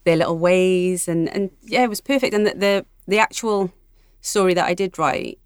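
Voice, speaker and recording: A woman's voice: fairly low pitch, normal speed, smooth, no echo, clean studio sound. A woman chatting casually in an interview about her own creative work.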